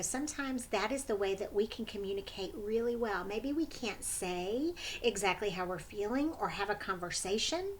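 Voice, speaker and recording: A woman reading a story to children in an animated, expressive way, pausing to prompt her young listeners with questions. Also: high voice.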